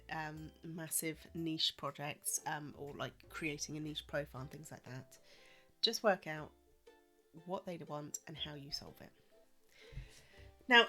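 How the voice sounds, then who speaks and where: A woman talks through her solo podcast in a lowish, slightly wheezy voice, still getting over a cold. She's chatty and reassuring, with plenty of ums. Faint music sits under her voice. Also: a bit bright. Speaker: podcast host.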